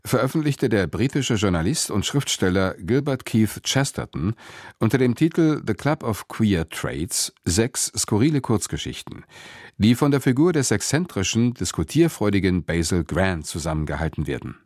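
Low-voiced, lively male radio presenter. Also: moderate pace, no echo, clean studio sound. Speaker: radio presenter introducing a drama. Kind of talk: scripted literary introduction.

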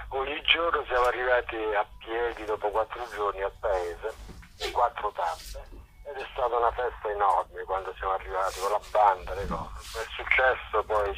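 A man's voice: lowish voice, bright, forceful tone, breathy edge. A middle-aged man from Molise in Italy talking informally as an interview guest, hesitating and restating as he explains and tells his story. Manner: hesitant, self-correcting, chatty storytelling. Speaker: interview guest, general coordinator of a walking event. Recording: dull, telephone-like radio interview line.

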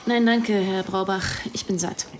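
An actress playing a weary, bitter police investigator in a radio crime drama, terse and sardonic. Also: female voice, mid-range voice, steady tone, a little slow, slight background noise, lightly acted. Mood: firm. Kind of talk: naturalistic German radio crime drama acting.

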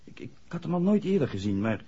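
A man's voice: on the high side, sing-song, fast, mellow, husky. A man acting an earnest, hesitant young village garage worker in a 1960s radio play, speaking deferentially. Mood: earnest, puzzled. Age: young adult. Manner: hesitant, deferential to his questioner. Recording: dull 1960s radio drama recording.

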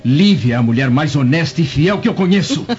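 A man's voice: on the high side, lively intonation, brisk, a little mellow, natural voice. A man playing a proud, hot-tempered Roman senator in a radio drama, with a commanding theatrical delivery. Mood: indignant. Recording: dull lo-fi radio drama, music behind.

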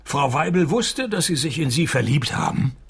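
An actor playing a sardonic, laconic private detective in a radio play, with a gravelly voice and dry wit. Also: male voice, a little high, big pitch swings, normal speed, dry recording, full character voice. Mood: probing. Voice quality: gravelly, creaky. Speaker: narrator and lead. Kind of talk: radio play narration and dialogue.

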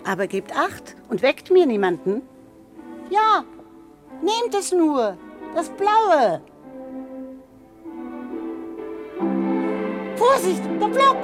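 A woman's voice: extremely high pitch, very animated, takes it slowly, fairly bright tone, heavily acted. An actress playing a fussy, cautious boat-rental woman with a sing-song manner in a radio drama. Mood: cautioning. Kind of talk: German radio drama acting. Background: music.

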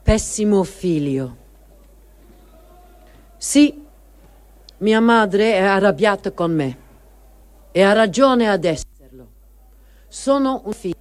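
A performer reading a first-person diary as a grieving, guilt-ridden adult child, in a deliberate dramatic style with emphatic pauses. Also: medium pitch, lively intonation. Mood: sorrowful. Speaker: reader of testimony.

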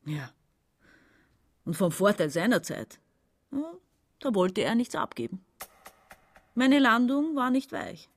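Exasperated, commanding woman around forty, animated. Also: fairly high pitch, slow pace, long pauses, full character voice. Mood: bitter. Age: 40s. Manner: commanding, impatient, sardonic. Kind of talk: radio drama dialogue and monologue.

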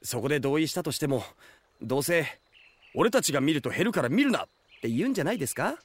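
A man's voice: fairly high pitch, very animated, fast, husky. A man acting in a Japanese audio drama as a sardonic young retainer, breezy and wry but casually polite.

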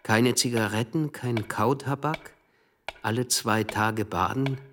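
A man's voice: medium pitch, fairly even intonation, takes it slowly, noisy background. An actor playing an earnest, polite and loyal young man in radio play dialogue. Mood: subdued.

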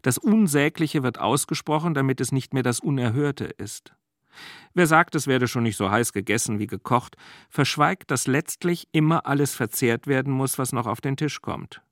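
A man's voice: mid-range voice, normal speed, a bit bright, dry recording. Formal man presenting, dry sound. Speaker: presenter introducing a stage reading. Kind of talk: prepared spoken introduction with quotation.

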